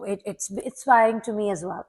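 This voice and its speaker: A woman's voice: mid-range voice, moderate pace, crowd noise. A woman in her forties from Pakistan, a longtime teacher, talking earnestly and reflectively as a guest in an interview, often repeating a word as she starts. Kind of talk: casual interview talk.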